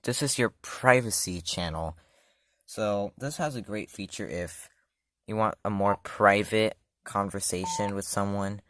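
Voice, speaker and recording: A man's voice: lowish voice, unhurried, fairly bright tone, dry recording. A man casually demoing a product, talking loosely with many fillers and self-corrections. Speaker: product reviewer. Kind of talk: casual hands-on demo.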